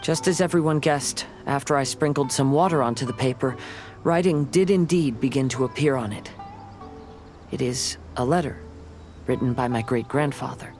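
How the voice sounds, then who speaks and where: An actor playing a gentle, thoughtful young samurai in a video game, speaking in a calm, measured, formal way. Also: male voice, medium pitch, lightly acted. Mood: calm, earnest. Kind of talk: video game voice acting, storytelling. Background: faint game music.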